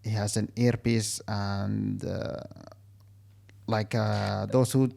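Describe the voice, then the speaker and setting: Creaky-voiced man, halting. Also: lowish voice, little pitch movement, mellow. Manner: halting, searching for words. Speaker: interview guest. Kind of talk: personal story told in conversation.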